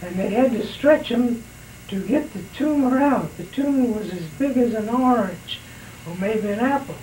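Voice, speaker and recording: A man in his sixties with a raspy voice left by throat surgery, slowly recounting memories in a home oral history interview. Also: very high-pitched, lively intonation, a little mellow, slight background noise, low-quality recording. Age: 60s. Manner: slow, unhurried storytelling with pauses.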